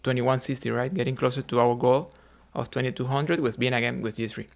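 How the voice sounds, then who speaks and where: A man from Cuba, streaming his chess games and quietly thinking his moves out loud. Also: medium pitch, steady tone, brisk, vocal fry. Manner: thinking aloud, muttering moves quietly, hesitant.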